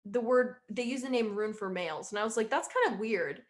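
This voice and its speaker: A young woman with a slightly high voice chats in a relaxed interview. She rambles freely and keeps slipping into quoting what she said back then. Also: fairly quick. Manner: chatty, rambling, quotes herself often. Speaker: musician interviewee. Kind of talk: casual interview chat.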